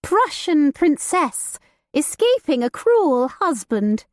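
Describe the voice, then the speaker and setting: A flighty young noblewoman, playful and romantic, in a high and lively voice, put on by a woman reading the story aloud. She talks in a lilting, gossipy way. The recording is dry and close. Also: slow, no room sound, full character voice.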